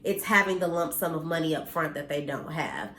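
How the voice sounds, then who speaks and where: A woman's voice: low voice, expressive, brisk, a bit bright, reverberant. A woman in her thirties from the United States, slightly nasal and congested from allergies, talking earnestly and bluntly to her viewers from home, cursing now and then. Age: 30s. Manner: earnest and blunt, mildly preachy, occasional cursing. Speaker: vlogger. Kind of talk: casual direct-to-camera talk.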